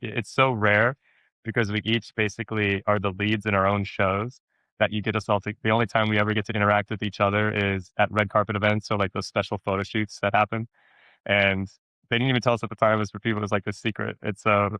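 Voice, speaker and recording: A man chatting casually as an interview guest, telling stories in an animated way, repeating words for emphasis and acting out quotes. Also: on the low side, clean tone, no room sound, poor audio. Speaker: actor being interviewed.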